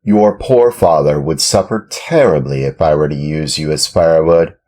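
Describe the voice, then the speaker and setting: A man reading a storybook and voicing a gruff, bearded male puppet-theater director in a hoarse, booming, commanding tone. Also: normal speed, warm tone. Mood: grumbling.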